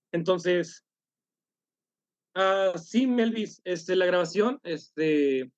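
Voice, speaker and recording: High-voiced man leading a workshop call. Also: lively intonation, dry recording, highs cut off. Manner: halting, self-correcting, apologizes after coughs. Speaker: workshop leader. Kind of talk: online workshop discussion.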